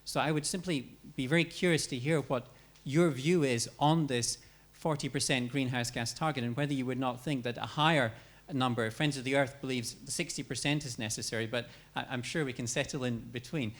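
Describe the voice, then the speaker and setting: A man's voice: mid-range voice, a little fast, few pauses. A man in the seminar audience politely putting a question to the panel.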